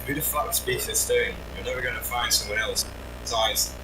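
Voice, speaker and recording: A man teases his friends with crude jokes over voice chat and laughs often. His mic sits far away in an echoey space, with loud noise behind him. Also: medium pitch, fairly quick. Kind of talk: casual voice chat banter.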